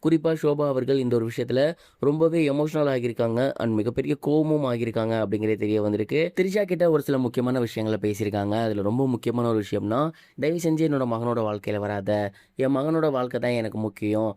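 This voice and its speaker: A man's voice: mid-range voice, fast, warm tone, no room sound. A man presenting scripted gossip news commentary, dropping English words into his speech.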